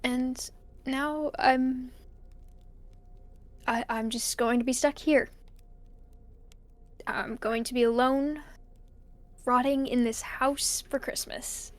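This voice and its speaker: A woman playing a weary, lonely college student recording a halting personal log in an audio drama. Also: high-pitched, steady tone. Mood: dejected, lonely. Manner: hesitant, halting, sighing. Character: weary, lonely college student stuck alone in a snowbound house.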